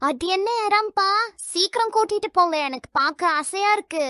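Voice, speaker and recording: A woman voicing a friendly, excitable young cow in a children's cartoon, sweet and eager. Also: extremely high pitch, normal speed, no echo, clean studio sound.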